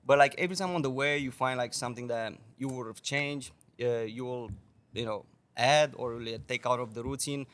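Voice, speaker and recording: A man's voice: medium pitch, brisk. A young man from Romania, a guest bartender, telling stories on a podcast in casual, swearing, laughing speech. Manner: animated, swears a lot, laughs often.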